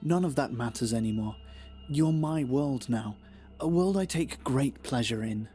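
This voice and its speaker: Man playing devout young cleric, medium pitch. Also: lively intonation, measured pace, mellow, soft background music, natural voice. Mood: devoted. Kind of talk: dramatic fantasy voice acting.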